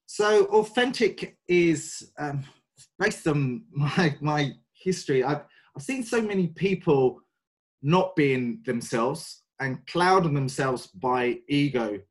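Man, 40s, London English accent, coach, halting, lots of ums, podcast guest. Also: a little high, expressive. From North London, England. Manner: hesitant, many fillers, thoughtful. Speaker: guest, sales and mind coach. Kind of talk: podcast interview.

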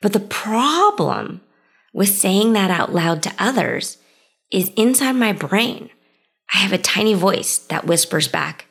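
A woman's voice: mid-range voice, very animated, slow, vocal fry, fairly bright tone, husky. A woman hosting her own podcast, talking through ideas in a conversational, reflective way and starting many sentences with "so". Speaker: podcast host. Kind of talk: solo podcast monologue.

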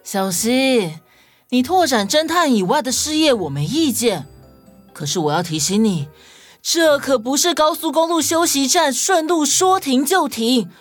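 A fairly high, animated voice from a woman acting a sensible little-story detective for children's audio. The delivery is dry and grumbling, with big swings in intonation. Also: measured pace, faint music behind. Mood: exasperated.

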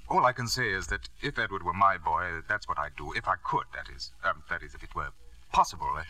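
Caring family physician, old radio drama: low, very bright and forceful, lively, discreet. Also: male voice, fairly quick, dry recording, exaggerated voice, poor audio. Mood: urgent, awkward. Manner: reassuring, awkwardly hesitant on delicate matters. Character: earnest male family doctor, discreet, caring friend. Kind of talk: 1950s radio drama acting.

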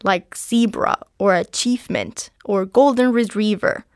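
A woman's voice: medium pitch, measured pace, no echo, studio quality. A woman from Panama, a native Spanish speaker with a slight accent, narrating a personal story as a journalist.

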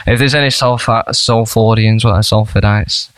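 A young man, a band member chatting as a podcast guest, telling rambling, animated stories. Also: on the low side, normal speed, clear, resonant tone, dry recording. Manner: animated, rambling storyteller with laughs. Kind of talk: casual storytelling chat.

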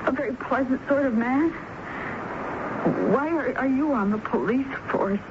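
An actress playing a timid, flustered typist in a 1970s radio drama, speaking in an anxious, halting stammer. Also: female voice, sits high. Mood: resigned, meek. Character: timid, flustered female typist suspected of murder. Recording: muffled, noisy old radio broadcast.

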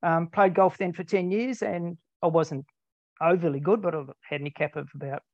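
A man's voice: on the high side, fairly even intonation, brisk, no room sound. Rambling older Australian man, dry lo-fi sound. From Tasmania, Australia. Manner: rambling, self-deprecating, many ums. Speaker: interview guest. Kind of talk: casual conversational storytelling.

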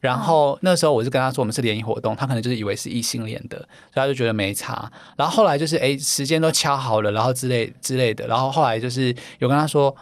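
A man, a podcast guest chatting casually and gently, with frequent laughs and fillers. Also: mid-range voice, racing, few pauses, no echo. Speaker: podcast guest, event founder. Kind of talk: casual interview chat.

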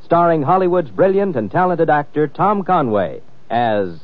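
A man's voice: fairly high pitch, sing-song, moderate pace, heavy background noise. A man announcing a 1950s radio drama in a grand, dramatic style. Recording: muffled old radio recording, loud music.

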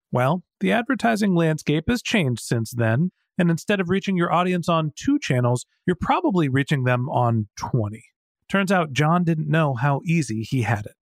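American guy doing a scripted podcast ad read, slightly high voice, very dry recording. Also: no echo. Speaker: podcast host reading a sponsor spot.

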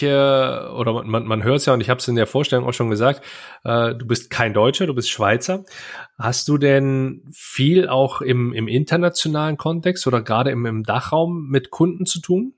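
A man's voice: medium pitch, moderate pace. Man asking long interview questions. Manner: asks long questions with restarts. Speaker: podcast host. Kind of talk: podcast interview.

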